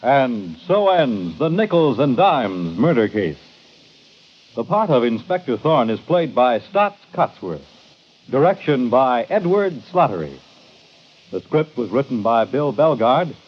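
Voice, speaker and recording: A man's voice: mid-range voice, big pitch swings, a little slow. A man reading closing credits as a formal announcer in 1950s radio style. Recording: muffled old radio broadcast.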